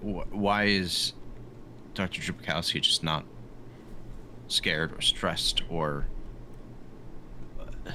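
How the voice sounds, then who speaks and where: A man with a very low voice and a bright edge talks as a player in a tabletop game. His casual chat is hesitant and halting. Background noise is clearly audible.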